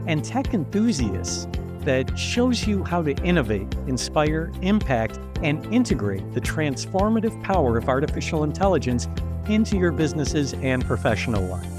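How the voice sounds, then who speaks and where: A man's voice: fairly high pitch, big pitch swings, normal speed, music in the background. A man hosting a podcast, reading a polished intro and then chatting loosely with a guest, with plenty of fillers and restarts. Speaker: podcast host. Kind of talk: scripted intro then casual interview.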